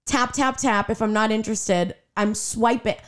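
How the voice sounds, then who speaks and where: A woman in her twenties with a raspy voice, animated and defensive as she chats on a podcast. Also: fairly high pitch, moderate pace. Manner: animated, defensive, laughs often. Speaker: podcast co-host. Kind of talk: casual chat.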